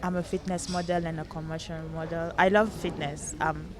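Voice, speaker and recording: A young woman from Cameroon, a podcast guest in casual chat, bubbly and quick to laugh. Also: fairly low pitch, level tone.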